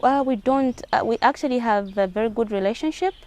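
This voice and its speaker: A woman's voice: on the high side, fairly quick, a little mellow. Zambian woman speaking as an interview guest. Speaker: interview guest, hijab advocate. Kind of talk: earnest spoken interview answers.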